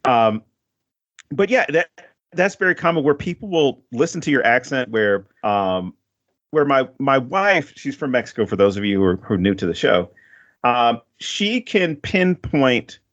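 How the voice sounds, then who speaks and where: Male podcast host, casual and rambling, given to laughs, mid-pitched, slightly muffled audio. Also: expressive, a little slow, a bit bright. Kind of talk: casual film discussion.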